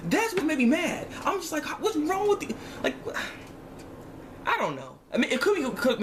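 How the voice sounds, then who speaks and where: A man giving a casual, rambling review of an animated series episode in slangy, exasperated speech with emphatic repetition. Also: extremely high pitch, background noise. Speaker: online reviewer.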